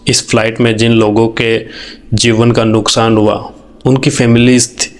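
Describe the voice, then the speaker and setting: A man's voice: mid-range voice, level tone, normal speed. A man from India with an Indian accent, hosting an informal, reflective video commentary in an earnest, conversational way, mixing in English phrases. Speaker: video host.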